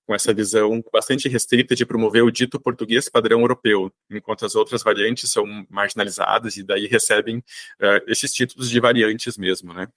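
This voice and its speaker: A Brazilian man hosting an interview, speaking in a thoughtful, measured way with a few hesitations. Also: lowish voice, a bit bright, no echo. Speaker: podcast host. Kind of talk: conversational interview.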